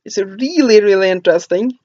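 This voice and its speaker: A woman with a Norwegian accent reviews a book, reading through its contents in a halting, casual way with many pauses and stumbles over names. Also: medium pitch, expressive, warm tone, no room sound, dull audio.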